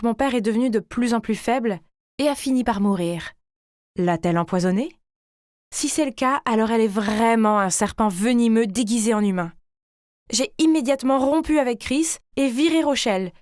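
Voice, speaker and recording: High-voiced woman narrating a dramatic story. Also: dry recording, studio quality. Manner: theatrical, expressive, as a teenage girl telling her own story. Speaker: story narrator in the first person.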